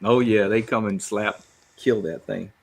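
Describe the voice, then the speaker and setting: A man's voice: lowish voice, steady tone, measured pace, gravelly. An American man talking casually and keenly about fishing gear as a podcast panelist.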